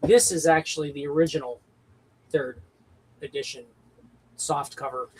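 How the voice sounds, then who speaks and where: A man's voice: on the high side, fairly even intonation, normal speed, low-quality recording. A man in his early fifties chatting casually as a game designer on a livestream panel. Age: early 50s. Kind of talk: casual chat. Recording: clear background noise.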